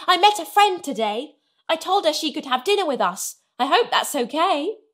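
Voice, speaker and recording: Woman doing a little girl, storybook heroine, high-pitched, animated, eager, warm-hearted, exclaiming. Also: normal speed. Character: excitable, warm-hearted young human girl, Sarah. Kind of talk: children's storybook character voice.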